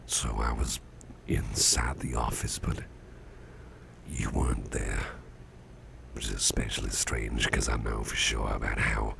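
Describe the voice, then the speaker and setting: An extremely low, heavily creaking male voice acted by a man for an ailing, genial boss in an ASMR roleplay. He rambles in a folksy half-whisper, slowly and with long pauses, close on the mic. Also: little pitch movement, fairly bright tone, a little hiss or hum, heavily acted. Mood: musing, uneasy. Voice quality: very deep, gravelly, breathy half-whisper. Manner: slow, halting, folksy musing with pauses. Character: sickly, gruff but genial male boss. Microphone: close ASMR microphone.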